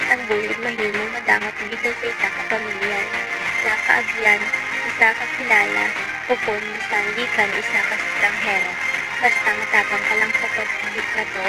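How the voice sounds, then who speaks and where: A woman's voice: mid-range voice, close to an everyday voice, rough recording. A young woman playing an earnest, determined daughter turned advocate in a student radio drama. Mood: determined, inspirational. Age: young adult. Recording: loud music under the voice.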